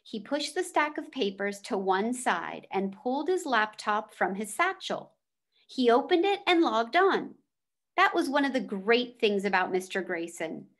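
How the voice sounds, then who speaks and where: A woman reading a children's novel aloud as a storyteller. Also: on the high side. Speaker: read-aloud reader.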